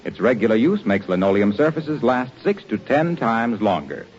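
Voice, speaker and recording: A man's voice: medium pitch, sing-song, normal speed, a little mellow. A man working as a 1940s radio announcer, delivering polished, emphatic sales copy. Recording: dull 1940s radio recording, faint hiss.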